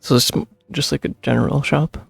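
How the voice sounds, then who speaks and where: A man with a gravelly, husky voice giving casual game commentary and reading aloud as a streamer. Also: on the high side, fairly even intonation, a little fast. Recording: dry close mic, game music behind.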